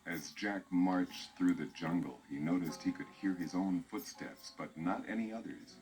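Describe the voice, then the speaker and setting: Male storybook narrator, very deep, measured, music under. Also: a little mellow.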